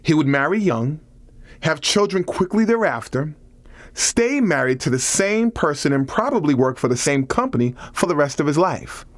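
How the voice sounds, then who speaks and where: A man reads scripted nonfiction as an audiobook narrator, with measured, deliberate phrasing. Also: on the high side, sing-song, no echo.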